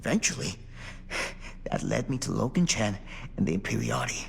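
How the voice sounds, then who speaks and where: A man's voice: fairly low pitch, huge pitch swings, normal speed, creaky, heavily acted. A man playing a weary, driven former sheriff in a video game, speaking in a grave, confessional way. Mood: haunted, grave. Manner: brooding, solemn, confessional. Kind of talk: video game cutscene acting. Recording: reverberant hall, light background noise.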